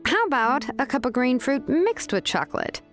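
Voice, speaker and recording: A woman's voice: high-pitched, sing-song, moderate pace, faint music behind. A woman voicing a laid-back, mildly stubborn young woman in a video game, casual and nonchalant. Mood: easygoing.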